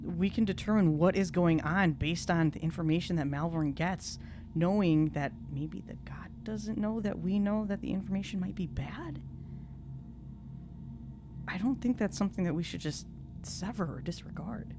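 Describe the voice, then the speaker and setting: A woman's voice: lowish voice, moderate pace, background noise. A woman playing a tabletop role-playing game, casually talking through the situation as her character. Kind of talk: casual improvised game discussion.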